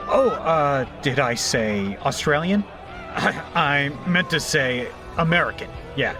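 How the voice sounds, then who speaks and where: An actor playing a bumbling biker poser in an audio drama, stammering and defensive. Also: male voice, fairly high pitch, lively intonation, slow pace. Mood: flustered. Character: bumbling male biker poser, flustered, backpedaling in bars. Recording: music behind the voice.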